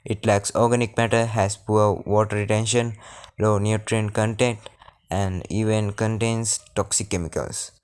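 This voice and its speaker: Low-voiced man presenting formally. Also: flat delivery, clear, resonant tone. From Sri Lanka. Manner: formal, measured delivery. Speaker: student presenter. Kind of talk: reading a prepared project presentation.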